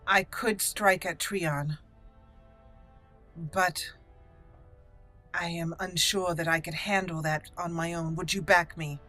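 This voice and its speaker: A woman role-playing a proud, dutiful young noblewoman raised among dwarves, speaking formally and deliberately as game dialogue. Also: fairly low pitch, steady tone, pushed and bright, faint music behind. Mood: guarded, earnest.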